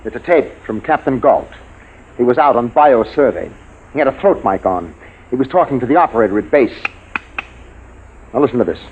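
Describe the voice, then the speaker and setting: An actor playing a resolute military scientist in an old-time radio space drama, urgent, in a tinny recording. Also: male voice, medium pitch, expressive, fairly quick, long pauses, slight background noise, natural voice. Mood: urgent, explaining.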